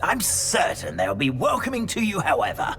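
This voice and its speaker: Fussy, bright-voiced British gentleman ghost. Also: fairly high pitch, wildly swooping pitch, moderate pace, faint music behind. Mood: hedging, reassuring. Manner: flustered, courteous, formal, hemming. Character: genteel, fussy, hesitant male nobleman ghost. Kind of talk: video game character acting.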